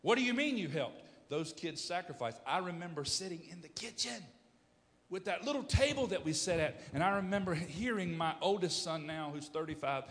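A pastor in his fifties preaching a sermon, emphatic, swinging from loud bursts to hushed storytelling. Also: sits high, animated, fairly quick, in a large hall.

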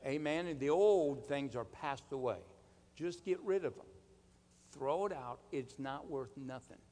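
Male preacher, mid-pitched, slow and deliberate sermon delivery, long hall reverb. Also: darkish tone. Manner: emphatic, deliberate, rhetorical questions and calls for amen.

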